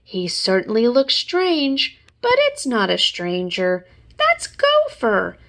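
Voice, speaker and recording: Innocent storybook bear, played by a woman, friendly and full of wonder, high voice with lively swings. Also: slow, full character voice. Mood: cheerful. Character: naive, friendly honey-loving toy bear.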